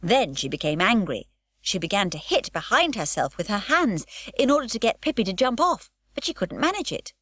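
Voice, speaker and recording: A woman narrating an unabridged children's audiobook, with expressive, varied storytelling. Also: mid-range voice, moderate pace, no echo.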